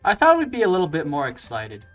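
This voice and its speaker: A man's voice: a little high, huge pitch swings, fast, fairly bright tone, soft background music. A man giving casual commentary as he plays through a video game. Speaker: let's play streamer.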